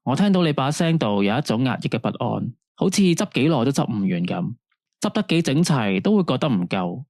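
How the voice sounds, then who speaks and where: A man's voice: mid-range voice, sing-song, brisk, creaky, darkish tone, dry recording, studio quality. A man playing a soothing late-night radio host. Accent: Hong Kong Cantonese. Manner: soothing, patient, counselling tone. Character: gentle male late-night radio host, counselling a caller. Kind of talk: radio drama acting.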